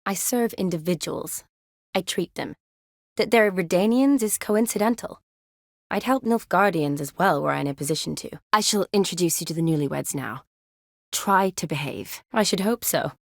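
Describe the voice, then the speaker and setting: A woman's voice: lowish voice, animated, normal speed, gravelly, no echo. Composed young woman medic, dry recording. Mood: serious, businesslike, then friendly, composed. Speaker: video game character, army surgeon. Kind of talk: video game dialogue acting.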